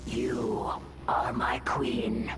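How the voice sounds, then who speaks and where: A woman's voice: very deep voice, level tone, very slow, very noisy, exaggerated voice. A woman playing a proud, warlike alien brood mother in a video game, speaking formally and solemnly with a layered creature effect. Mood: fervent devotion. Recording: echoing voice under loud music.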